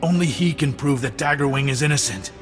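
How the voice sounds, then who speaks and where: An actor playing a gravely wounded military commander in a video game, gasping weakly, halting and urgent. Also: male voice, mid-range voice, lightly acted. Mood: pained, shocked. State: weak and gasping from injury. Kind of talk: video game dramatic dialogue. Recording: loud music underneath.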